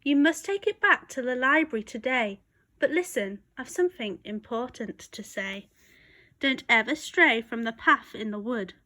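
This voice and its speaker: A woman voicing a brisk, bossy mother from a storybook, giving instructions firmly. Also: a little high, lively intonation, pushed and bright. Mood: brisk, stern.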